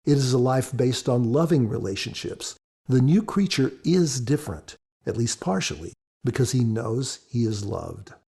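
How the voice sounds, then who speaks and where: Mellow, husky man explaining a story. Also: medium pitch, lively intonation, normal speed. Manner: earnest, preacherly, explanatory. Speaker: author and co-host. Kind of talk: scripted podcast commentary and narration.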